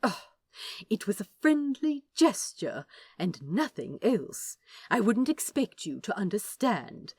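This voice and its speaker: A woman voicing a prim, flustered, gentle male angel in an audiobook, fussy and formal in manner. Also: medium pitch, big pitch swings, takes it slowly, husky, no echo, studio quality, voice pushed up, full character voice. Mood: prim indignation. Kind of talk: fan fiction audiobook character voice.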